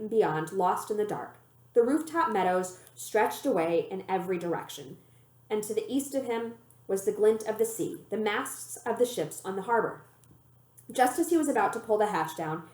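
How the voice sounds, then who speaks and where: A woman reading a children's novel aloud as an expressive, animated storyteller. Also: medium pitch. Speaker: librarian reading a book aloud. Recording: roomy, echoey room sound.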